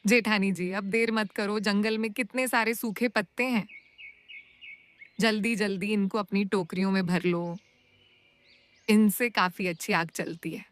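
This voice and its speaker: A woman's voice: medium pitch, fairly even intonation, unhurried, natural voice. A woman playing a helpful, practical young daughter-in-law in a cartoon story. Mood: helpful, eager. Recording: music behind the voice.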